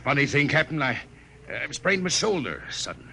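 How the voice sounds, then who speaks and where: Expressive seasoned spaceman storyteller, male. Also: on the high side, normal speed, creaky, slight background noise, exaggerated voice. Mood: uneasy. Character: seasoned spaceship jetman telling a nostalgic tale. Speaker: narrator. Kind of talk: 1950s radio drama narration. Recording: vintage 1950 radio recording.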